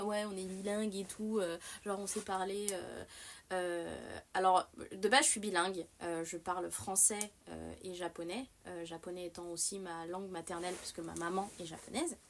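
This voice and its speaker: A young woman hosts a casual live stream at a middle pitch. She meanders through her thoughts and circles back on half-finished sentences. Her delivery is animated and self-mocking. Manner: rambling, many fillers and restarts. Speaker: livestream host. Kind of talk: casual livestream chat.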